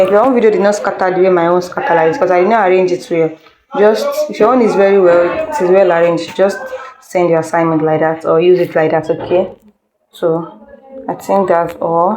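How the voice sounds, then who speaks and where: A woman's voice: lowish voice, normal speed, a crowd in the background, poor audio. A woman instructor giving an informal step-by-step tutorial, repeating instructions and checking in with listeners. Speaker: video editing instructor.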